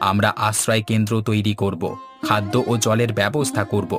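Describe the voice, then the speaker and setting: A man's voice: lowish voice, lightly acted. A man playing an earnest village leader in a cartoon, speaking in formal, rallying speeches. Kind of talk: scripted cartoon dialogue.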